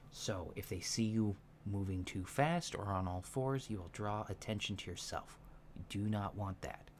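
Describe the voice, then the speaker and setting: Casting: male performer for deadpan alien teacher in human disguise, hushed ASMR delivery, lowish pitch, unhurried pace. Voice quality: soft, hushed voice. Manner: deliberate, deadpan, instructional. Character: soft-spoken alien instructor in human disguise, earnest and matter-of-fact. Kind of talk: soft-spoken ASMR roleplay lecture. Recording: lo-fi with steady background noise.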